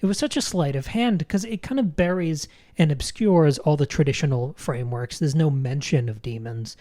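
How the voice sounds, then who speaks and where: Woman, podcast co-host, mellow soft-edged tone, articulate, emphatic, literary wording, conversational film analysis. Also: low voice, moderate pace.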